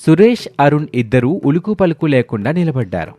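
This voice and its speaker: A man's voice: on the high side, very animated, brisk, mellow, dry recording, soft background music. A man narrating a scripted moral story in a storytelling manner.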